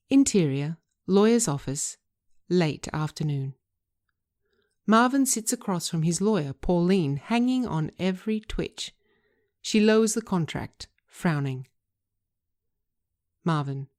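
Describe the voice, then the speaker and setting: A woman narrating a screenplay aloud, reading scene headings and stage directions in a measured, deliberate way. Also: on the low side, a little mellow. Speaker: writer and narrator.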